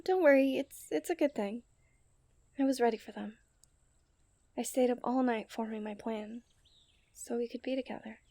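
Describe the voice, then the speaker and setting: Young princess, strong-willed romantic played by a woman. High, very mellow voice, soft and intimate, for ASMR roleplay. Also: dry recording, lightly acted. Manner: soft, intimate delivery that often drops into whispers.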